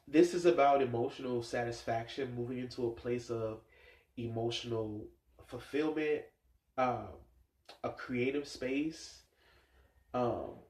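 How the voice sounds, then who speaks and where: A man with a stuffed-up nose giving a casual tarot reading, musing aloud and rambling with many fillers. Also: mid-range voice. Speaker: tarot reader. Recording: echoey room sound.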